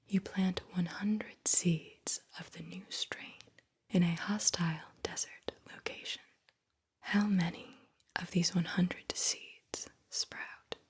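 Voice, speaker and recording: A woman whispering slowly and soothingly as an ASMR host, guiding the listener deliberately and repeating words. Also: fairly low pitch. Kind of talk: whispered ASMR guided visualization.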